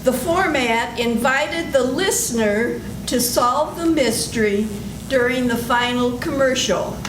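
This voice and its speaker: An older woman reading a scripted introduction as announcer, slow and deliberate, in a senior center room. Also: high voice. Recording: echoey room, audible background noise.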